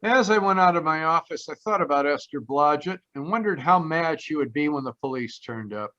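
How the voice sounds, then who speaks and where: An actor playing a flippant, wry ex-cop private detective in a 1940s-style radio play. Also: male voice, a little high, lively intonation, fairly quick, sharp tone. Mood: matter-of-fact narrating. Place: remote live performance over video call. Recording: dull, lo-fi video call audio.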